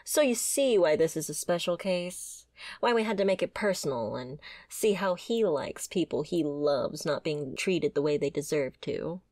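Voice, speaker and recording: Mafia boss played by a woman: wry, principled, flirtatious, lower female register, very dry close sound, ASMR roleplay. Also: expressive, moderate pace, a little mellow, dry recording. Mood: wry, explaining. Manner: sardonic, conversational, swearing. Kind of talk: scripted ASMR roleplay acting.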